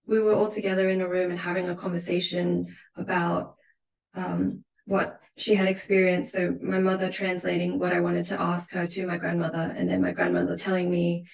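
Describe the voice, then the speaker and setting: A woman with an Australian accent, speaking reflectively and thoughtfully about her own work as an artist in an interview, in a measured way with pauses mid-phrase. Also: lowish voice, little pitch movement, creaky. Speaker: visual artist being interviewed. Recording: roomy, echoing sound.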